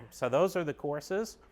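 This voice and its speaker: A man's voice: on the high side, expressive, slow pace, fairly bright tone. A man giving a casual, upbeat walkthrough of an indoor golf simulator bay, explaining each screen as he clicks through it. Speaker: golf pro giving a tour.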